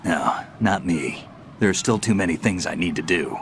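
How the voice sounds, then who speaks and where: A gravelly, low-pitched veteran soldier for a video game. He is weary and stoic, speaking in short, measured statements. A faint noise sits behind the voice. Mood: stoic, resolute. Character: weary, gruff, hardened male soldier of legend. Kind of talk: video game cutscene dialogue.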